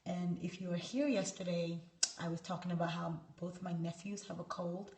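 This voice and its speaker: A hoarse woman with a cold, teaching a live yoga lesson and talking viewers through a pose as she demonstrates it. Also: fairly low pitch, little pitch movement, normal speed, a little mellow. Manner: talks through poses while demonstrating, stops to greet viewers. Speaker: yoga instructor. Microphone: camera set back across the room. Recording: echoey room, voice far from the mic.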